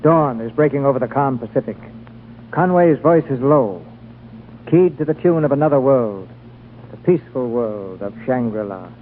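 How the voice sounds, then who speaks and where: A man narrating as the announcer of a 1930s radio drama, clear and formal. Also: medium pitch, animated, moderate pace, slight background noise. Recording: tinny old radio broadcast.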